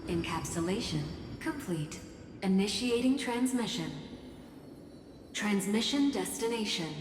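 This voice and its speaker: A woman voicing an impassive computer system in a game, giving flat, clipped procedural announcements. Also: medium pitch, unhurried, natural voice. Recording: echoey long reverb under music.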